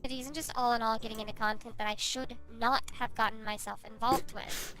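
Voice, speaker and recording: A woman's voice: fairly high pitch, steady tone, fairly quick, a bit bright, smooth, soft background music, poor audio. A woman giving a rambling, self-justifying confessional monologue.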